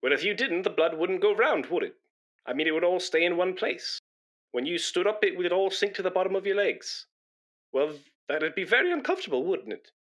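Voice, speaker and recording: A man's voice: high voice, expressive, a little fast, fairly bright tone. An actor playing a pompous, self-important man in a British radio comedy, blustering and indignant, with a matey know-all manner. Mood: chatty, know-all.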